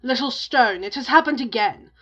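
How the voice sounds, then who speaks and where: A woman voicing a big, gullible male oni ogre in a storybook tale, with loud, emphatic declaiming. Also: a little high, lively intonation, moderate pace, muffled recording. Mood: aggrieved, threatening.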